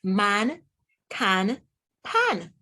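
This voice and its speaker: A woman reading aloud to young children as a teacher, pronouncing words deliberately one by one and putting questions to the listener. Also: mid-range voice, sing-song, pushed and bright. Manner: deliberate word-by-word enunciation, asks the listener questions. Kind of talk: read-aloud phonics lesson for children.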